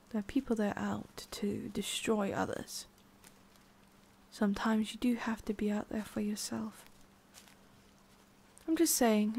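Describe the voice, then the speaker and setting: A woman voicing a haughty, prickly witch with a hidden soft side in an ASMR roleplay, soft and often whispery with a creaky edge. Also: medium pitch. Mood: guarded, caring. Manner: soft, often whispery, breathy sighs, curt asides.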